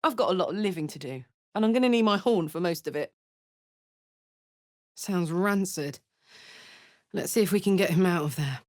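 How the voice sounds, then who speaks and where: An actress playing a brash, warm-hearted, battle-hardened tiefling barbarian woman in a video game, blunt, cheeky and earnest. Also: on the low side, expressive, moderate pace, long pauses, no room sound, clean studio sound, natural voice. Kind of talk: scripted game character voice lines.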